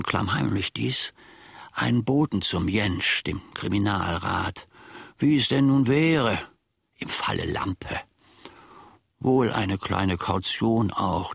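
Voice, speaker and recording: An actor playing a grumbling, self-important old manservant in a German radio play, husky and gravelly, telling his grievances in halting, mock-formal phrases. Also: lowish voice, a bit bright, no echo, studio quality, full character voice. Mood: grumbling, confiding.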